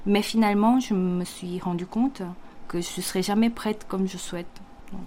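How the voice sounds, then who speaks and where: Young Korean-accented woman, comic artist guest, halting careful answers with frequent pauses. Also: fairly low pitch, darkish tone, slight background noise. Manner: hesitant, frequent fillers, careful non-native phrasing. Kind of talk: interview answers.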